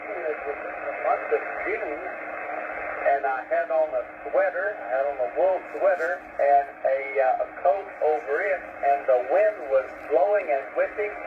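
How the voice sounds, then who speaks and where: An older man talks over a tinny shortwave link, his raised voice half-buried in hiss and crackle. He wanders through his stories with plenty of "uh"s and soft chuckles. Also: fairly high pitch, a little slow. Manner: rambling and hesitant, with many fillers and chuckles. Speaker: ham radio operator. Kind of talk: casual radio chat. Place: North Carolina, USA. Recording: tinny, static-filled shortwave radio.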